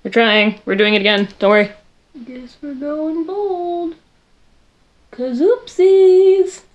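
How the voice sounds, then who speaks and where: A young woman with a loud voice chatting casually in her bedroom while doing a makeup tutorial, rambling and poking fun at herself. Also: high voice, slow, highs cut off. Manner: chatty, rambling, self-deprecating, talks to viewers while working. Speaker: makeup vlogger.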